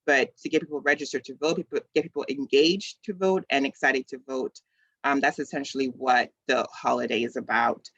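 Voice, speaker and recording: A man's voice: medium pitch, steady tone, brisk, fairly bright tone, no room sound. A man in his thirties from the Congo, hosting a community video call in a casual, conversational way with many ums and restarts. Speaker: community event host. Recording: slightly dull, dry call audio.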